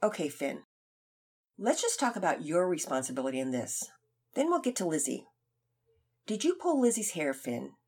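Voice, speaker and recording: A woman voicing a calm, serious male Sunday school teacher who speaks evenly and patiently. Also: lowish voice, slightly rough, exaggerated voice. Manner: calm, measured.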